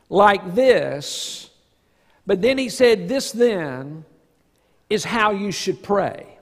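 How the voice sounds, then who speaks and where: Man, fifties, high-pitched voice, emphatic sermon delivery, deliberate phrasing, long church reverb. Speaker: pastor preaching. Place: large church sanctuary stage, indoors.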